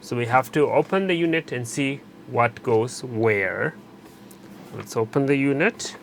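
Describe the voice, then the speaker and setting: A man narrating a hands-on repair tutorial while he works, pausing and correcting himself as he goes. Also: mid-range voice, vocal fry, slight background noise. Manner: unscripted, pauses and self-corrects while working. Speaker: electronics repair instructor.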